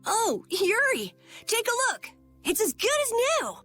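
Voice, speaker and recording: A woman as an eager, high-pitched young boy. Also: sing-song, unhurried, fairly bright tone, soft background music, exaggerated voice. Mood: proud, excited. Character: eager, earnest young boy, modest guild boss. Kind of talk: video game voice acting.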